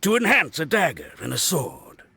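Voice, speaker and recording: An actor playing a boastful male archaeologist in a game, lecturing and quick to take offense. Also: a little high, sing-song, gravelly, bright, forceful tone, slight background noise, exaggerated voice. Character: pompous self-styled male archaeologist. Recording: game cutscene with faint score.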